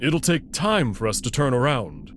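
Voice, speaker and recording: A man voicing a nervous, evasive alien wolf in a visual novel, speaking in a hesitant, halting way. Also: mid-range voice, huge pitch swings, slight background noise. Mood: uneasy, evasive. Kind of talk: visual novel character voice acting.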